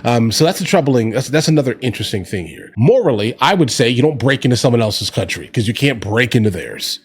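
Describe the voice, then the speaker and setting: A man's voice: medium pitch, big pitch swings, a little fast. A man giving casual political commentary as a reaction host, conversational and opinionated, with slangy asides to his viewers.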